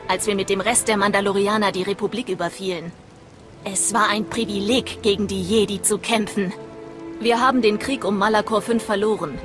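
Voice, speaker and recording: A woman's voice: mid-range voice, lightly acted. A woman playing a proud, honour-bound mercenary commander in a video game, firm and formal. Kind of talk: video game cutscene acting.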